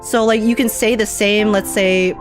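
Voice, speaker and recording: A woman's voice: mid-range voice, fairly even intonation, moderate pace, music in the background. A woman streaming a live game reaction, rambling casually with lots of fillers and frequent laughs.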